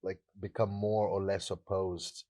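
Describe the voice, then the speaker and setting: Low-voiced young man telling a story. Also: a little slow. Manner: relaxed storytelling, frequent fillers. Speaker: podcast guest. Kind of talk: casual podcast chat.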